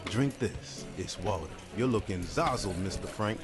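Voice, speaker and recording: An actor playing a loyal, folksy barkeep in an old-time radio drama, slangy and deferential. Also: male voice, lowish voice, animated, a little slow, over loud music. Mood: obliging. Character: loyal, folksy speakeasy barkeep man, puzzled, solicitous.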